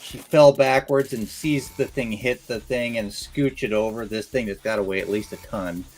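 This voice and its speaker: A man's voice: fairly low pitch, moderate pace, a little mellow, soft background music, poor audio. A man chatting casually as a tabletop game player, describing what his character does.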